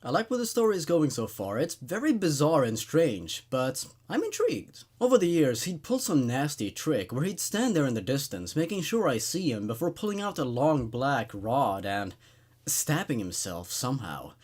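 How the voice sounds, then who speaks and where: A man hosting a horror story reading, narrating in an expressive, conversational, laid-back way. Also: mid-range voice, moderate pace, slightly rough. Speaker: host reading horror stories aloud.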